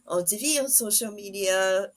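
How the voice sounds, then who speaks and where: A woman hosting a casual interview, phrasing her questions and remarks in a halting, hesitant way. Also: mid-range voice. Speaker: podcast host, interviewer.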